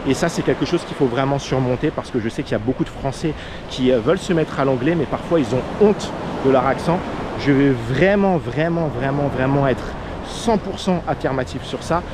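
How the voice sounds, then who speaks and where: A man's voice: mid-range voice, fairly quick. A man from France with a French accent, giving casual advice as a vlogger in an earnest way, with many fillers. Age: under 40. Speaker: vlogger, expat coach. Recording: outdoor noise of waves and traffic.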